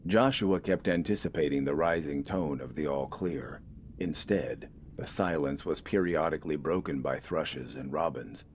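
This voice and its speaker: A man reading a scripted dramatic story in a measured, deliberate storytelling manner. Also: rumbling low, a little hiss or hum. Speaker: audiobook narrator.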